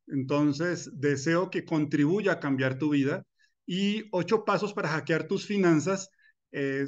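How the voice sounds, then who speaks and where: A man's voice: a little high, highs cut off. A middle-aged man from Colombia teaching a personal finance masterclass, talking earnestly and personally, with "eh" fillers and restarts. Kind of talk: recorded masterclass lecture.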